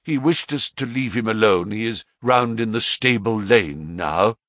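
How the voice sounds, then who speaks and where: A man's voice: fairly low pitch, unhurried, a bit bright, no room sound, studio quality. A man reading aloud, voicing an earnest young woman who pleads and protests loyally in a raised voice. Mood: pleading. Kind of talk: audiobook reading.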